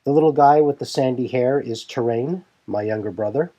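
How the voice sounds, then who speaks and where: A man's voice: mid-range voice, normal speed, mellow, lo-fi sound, close to an everyday voice. A man voicing a composed young leader of a warrior band who explains things formally in a fantasy audio drama. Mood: welcoming, composed. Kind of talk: fan audio drama dialogue.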